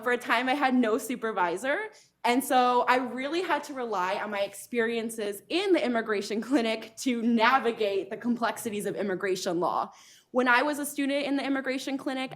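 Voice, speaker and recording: A woman's voice: on the high side, a bit bright. A woman in her thirties from Arizona, a law professor introducing herself at a panel event, earnest, with frequent ums. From Arizona, United States. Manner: earnest, frequent ums, occasional light laughs.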